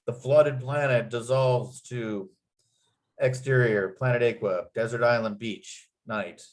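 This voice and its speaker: Guy reading out screenplay directions, medium pitch, vivid storyteller narration. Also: low-quality recording. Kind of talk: scripted narration at a table read.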